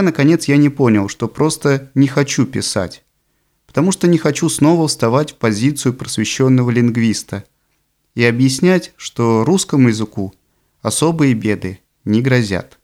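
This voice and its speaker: A man with a medium-pitched voice reads as an audiobook narrator. His delivery is scripted and deliberate. He sets out each phrase in a measured way, as prose read from a book. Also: darkish tone.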